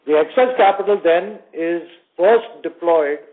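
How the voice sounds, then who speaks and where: A man's voice: fairly high pitch, measured pace. A male bank executive answering on an earnings call in a conversational way, checking in with tag questions. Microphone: phone conference call. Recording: tinny narrowband phone line.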